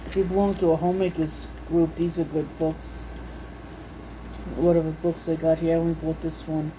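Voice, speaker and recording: A woman with a lowish, soft-edged, mellow voice talks casually as she browses and reads items aloud. She muses to herself in a homey, folksy way. She is recorded indoors with a faint steady room hum behind her. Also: moderate pace, low-quality recording. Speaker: vlogger showing a recipe book. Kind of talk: casual unscripted chat while browsing and reading out recipes. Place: indoors at home.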